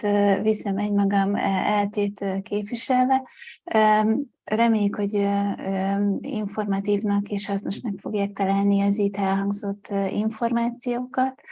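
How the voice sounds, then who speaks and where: A woman with a Hungarian accent opens an online event, stopping often on fillers. Her voice is mellow and flat. The audio is dry and dull, like a lo-fi call line. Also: medium pitch, no echo. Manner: hesitant, many fillers. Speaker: university representative. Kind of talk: formal welcome. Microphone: video call.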